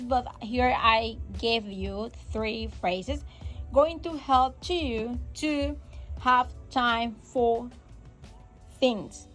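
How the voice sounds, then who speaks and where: A woman with Spanish as her first language hosts an English-learning podcast, talking slowly and warmly repeating her key tips. Her voice sits slightly high. Faint music plays behind her. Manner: encouraging, repeats key phrases, non-native grammar slips. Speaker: English teacher and podcast host. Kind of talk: casual podcast lesson.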